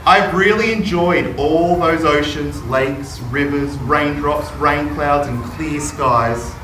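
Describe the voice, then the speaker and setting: A man's voice: fairly high pitch, slow, pushed and bright. A man voicing a loyal, worn-out little blue crayon in a storybook read-aloud, appreciative but weary. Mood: fond, weary. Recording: distant, roomy classroom with noise.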